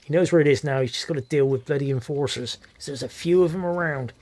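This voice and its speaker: A hoarse man, commentating a competitive video game match with excited, swearing energy. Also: on the high side, quick pace. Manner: energetic, swears freely, laughs often. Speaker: esports shoutcaster.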